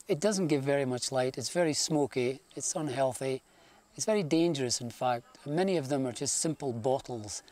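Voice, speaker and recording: A man's voice: medium pitch, normal speed, a little mellow. A man, an electrical engineer, explaining things plainly in an interview, with a few restarts.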